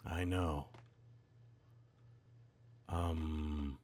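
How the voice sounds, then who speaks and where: A man's voice: a bass voice, fairly even intonation, very slow, darkish tone, slight background noise. A man giving casual, offhand gameplay commentary, half muttering to himself as he practices voice acting.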